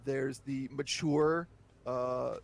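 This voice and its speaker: Gravelly-voiced man, candid and chatty. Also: mid-range voice, fairly even intonation, very slow. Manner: candid, chatty, breaks into laughter. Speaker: podcaster guest. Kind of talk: casual interview chat.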